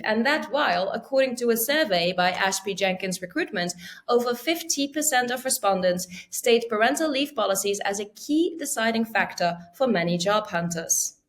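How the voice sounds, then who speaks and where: A woman hosting a debate podcast, clear and organized, reading out prepared background before each statement. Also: medium pitch. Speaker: podcast host.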